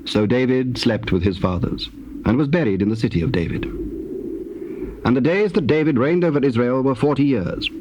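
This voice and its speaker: A man's voice: mid-range voice, moderate pace. Solemn male scripture narrator on noisy tape. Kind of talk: formal dramatized scripture narration. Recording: noisy, hissy old cassette tape.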